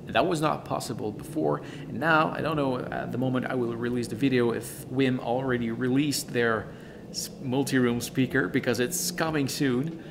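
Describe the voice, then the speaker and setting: A man who is a gives a casual product review in an enthusiastic, emphatic, halting way, often repeating words. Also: mid-range voice, steady tone, gravelly, noisy background.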